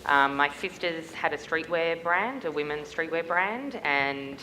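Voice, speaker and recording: A middle-aged woman with an Australian accent talks on stage with a low voice, chatty and upbeat. The sound is echoey, as from a big hall, with a faint hiss of noise behind it. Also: measured pace, sharp tone. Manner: chatty and upbeat, many ums. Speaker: guest speaker. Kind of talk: stage interview.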